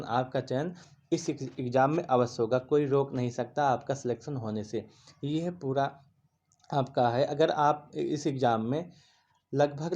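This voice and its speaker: A man's voice: medium pitch, mellow, lo-fi sound. A man teaching exam aspirants in a casual spoken lesson, earnest and repetitive, often restarting words mid-sentence. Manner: earnest, repeats himself, restarts mid-word.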